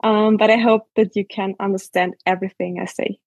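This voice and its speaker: A woman's voice: medium pitch, fairly even intonation, normal speed, darkish tone, dry recording. A woman with an Austrian accent, a native German speaker, explaining things carefully as a podcast guest. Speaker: podcast guest, sports scientist. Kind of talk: careful explanatory interview talk.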